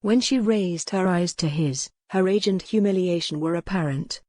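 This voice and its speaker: A woman narrating a historical romance audiobook in a measured, careful storytelling manner. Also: on the low side, a little mellow, dry recording.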